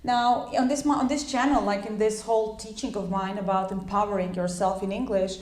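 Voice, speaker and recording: A woman's voice: mid-range voice, fairly even intonation, a little fast, a little mellow. Croatian-native woman teaching english, chatty, lots of ums and restarts, mid voice, kinda echoey room. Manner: chatty, many fillers and restarts. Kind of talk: informal spoken lesson.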